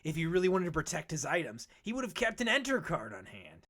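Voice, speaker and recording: Actor as veteran creature adventurer, brash, needling, slangy, cynical mentor, medium pitch, cartoon dub. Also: wildly swooping pitch, fast, fairly bright tone, full character voice. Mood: cocky, teasing. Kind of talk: animated comic dub acting.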